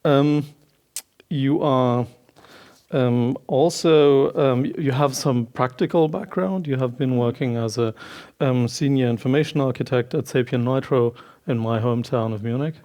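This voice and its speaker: A German-accented man in his forties introduces panelists on a conference stage, with a mid-range voice. He talks in halting runs full of ums, miked on stage in a large hall. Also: medium pitch, mellow. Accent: Bavarian German. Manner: hesitant, many ums, long introductions. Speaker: panel moderator.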